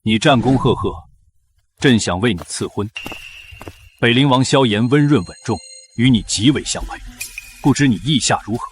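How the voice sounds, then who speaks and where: An actor playing a shrewd, magnanimous emperor in a historical drama, speaking in a stately, measured way. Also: male voice, mid-range voice, slight background noise, lightly acted. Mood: gracious. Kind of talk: historical short-drama acting.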